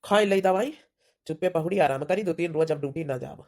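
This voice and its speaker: A woman's voice: deep voice, fairly quick, a little mellow. A woman voicing a sick, grumbling, bossy village husband in an Awadhi-accented comedy cartoon. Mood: weary, bossy. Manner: complaining, bossy.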